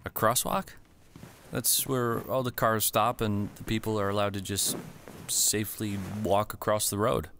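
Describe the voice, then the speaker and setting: A man's voice: lowish voice, level tone, a little slow, fairly bright tone, a little hiss or hum. A man acting in a radio play as a home owner, exasperated and swearing at his device. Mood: plain.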